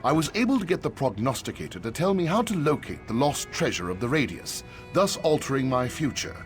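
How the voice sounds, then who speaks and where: A man voicing a weary, cautious old survivor in a fantasy video game, speaking gravely and with doubt. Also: medium pitch, big pitch swings, a little fast, lightly acted. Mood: regretful. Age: older. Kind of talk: AI-voiced fantasy game dialogue. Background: game music.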